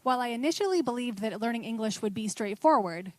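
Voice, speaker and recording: Teacher woman reading a student essay, steady and measured, dry close sound. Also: on the high side, no echo.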